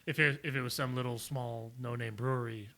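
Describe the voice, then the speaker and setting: A young man with a bright, ringing voice chats loosely while reviewing beer with friends. He laughs often and circles back on his points, hedging as he goes. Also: medium pitch, fairly even intonation, fairly quick. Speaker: podcast co-host reviewing beer. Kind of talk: casual chat.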